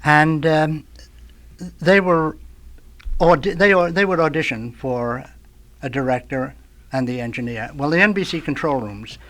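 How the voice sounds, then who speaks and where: An older man, a radio veteran, tells anecdotes as a talk show guest in a halting, rambling way, groping for words. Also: a little high, fairly bright tone. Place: radio studio.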